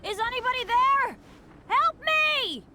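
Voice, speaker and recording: A woman's voice: very high voice, lively intonation, takes it slowly, full character voice. A woman voicing an earnest, apologetic, unlucky teenage boy adventurer in a video game. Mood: desperate.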